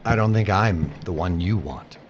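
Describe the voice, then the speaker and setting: Man acting low, reluctant veteran worker. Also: normal speed, muffled recording, a little hiss or hum, close to an everyday voice. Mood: guarded. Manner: terse, wary, restrained. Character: quiet, reluctant, guarded veteran male worker. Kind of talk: audio drama acting.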